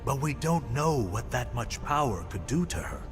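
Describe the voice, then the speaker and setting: A man playing a thoughtful, cautious smith and trusted ally in a video game cutscene, speaking in a solemn, deliberate way. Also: medium pitch, big pitch swings, lightly acted. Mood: troubled, doubtful. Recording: long reverb, music under the voice.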